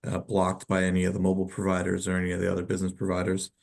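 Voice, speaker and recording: A man answering questions as a telecom expert on a webinar, explaining informally and haltingly, often repeating words. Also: low-pitched, monotone, gravelly, darkish tone.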